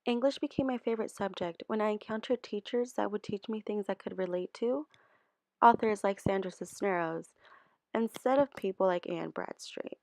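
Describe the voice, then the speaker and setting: A woman's voice: mid-range voice, normal speed, vocal fry, darkish tone, no room sound. A woman in her twenties from El Salvador, earnestly reading a scripted narration about teaching. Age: 20s. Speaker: student teacher. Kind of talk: scripted narration for a class video.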